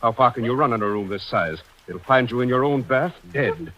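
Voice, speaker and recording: A man's voice: mid-range voice, animated, brisk, fairly bright tone, muffled recording. A man playing a smug, coldly taunting killer with sinisterly polite threats in a 1940s-style radio thriller. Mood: gloating, taunting. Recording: old radio recording, clear noise.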